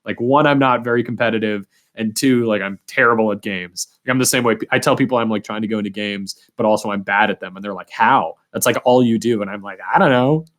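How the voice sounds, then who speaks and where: A man's voice: lowish voice, fast, few pauses, a bit bright. A man hosting a podcast in a casual, chatty way, agreeable and self-deprecating. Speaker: podcast host.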